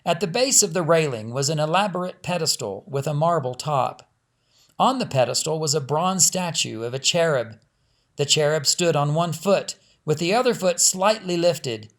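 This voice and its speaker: A man's voice: a little high, lively intonation, normal speed, a bit bright. A man reading a family story aloud as scripted audiobook narration. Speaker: author reading own audiobook.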